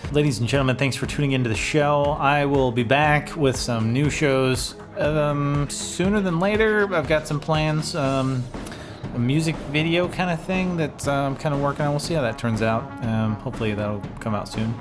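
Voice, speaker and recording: A man's voice: on the high side, normal speed, over music. A middle-aged man giving casual, informal commentary as a video host, rambling and thinking aloud into a close studio mic. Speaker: music producer and video host. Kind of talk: casual screen-share commentary. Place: small home studio room, indoors. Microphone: studio condenser on boom arm, close.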